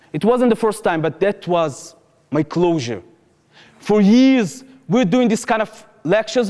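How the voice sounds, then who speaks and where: A man's voice: high-pitched, a little mellow, in a large hall. A young man with an Israeli accent, giving an earnest, impassioned public talk and telling a personal story to an audience. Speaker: activist speaker. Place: lecture hall.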